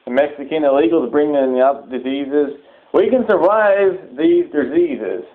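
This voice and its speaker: A man delivering a sermon-like public address in halting, deliberate phrases, repeating words for emphasis. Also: a little high. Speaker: preacher giving a public address. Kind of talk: sermon-like extremist political address. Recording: muffled, thin phone-like audio.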